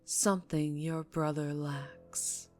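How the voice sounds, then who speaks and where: A woman acts out a female crime boss for an ASMR roleplay, her voice low and very measured over background music. She is dangerous and charming, speaking intimately close, sometimes slipping toward a whisper. Her affection is condescending, with cold authority underneath. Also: steady tone. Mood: cool, menacing. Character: dangerously charming, ruthless yet tender female mafia boss. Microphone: close-up microphone.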